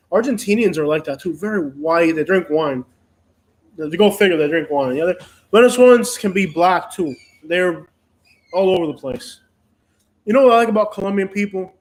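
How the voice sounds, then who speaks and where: A man's voice: high-pitched, normal speed. A man from Cuba, hosting a casual live chat and rambling with frequent restarts and fillers. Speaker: host.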